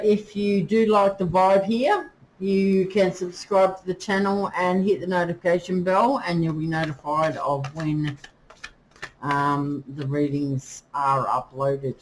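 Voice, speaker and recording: A woman giving a casual tarot reading, chatty and full of fillers, pausing to ask her spirits and guides as she draws cards. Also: lowish voice, poor audio. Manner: chatty, many fillers, talks to spirits while drawing cards. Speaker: tarot reader. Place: indoors with rain outside. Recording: faint rain noise behind.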